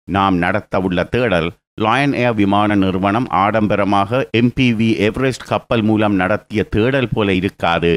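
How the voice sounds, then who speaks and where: A man reading scripted news explainer narration in a measured, explanatory way. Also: fairly low pitch.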